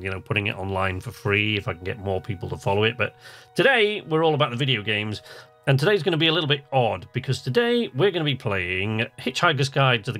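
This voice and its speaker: A man's voice: fairly low pitch, pushed and bright. A man giving casual let's-play commentary, chatty and hesitant as he thinks aloud and reads game text. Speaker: host of a video game channel.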